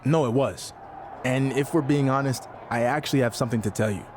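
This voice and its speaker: A man's voice: mid-range voice, a little fast, lightly acted. Champion wrestler, game cutscene man: earnest, a little wary, mid-range voice, faint background noise. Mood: hesitant, sincere. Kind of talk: naturalistic video game cutscene acting.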